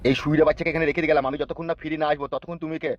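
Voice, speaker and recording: Man acting a blustering, fast-talking cartoon strongman. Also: on the high side, level tone, highs cut off, background noise, close to an everyday voice. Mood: angry. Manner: blustering, threatening. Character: menacing, foul-mouthed village strongman and worried husband.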